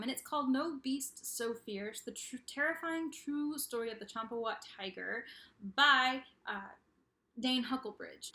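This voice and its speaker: A woman's voice: on the high side, pushed and bright. A woman talking casually in a book review vlog, emphatic and chatty, often repeating phrases for emphasis. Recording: roomy, echoey indoor recording.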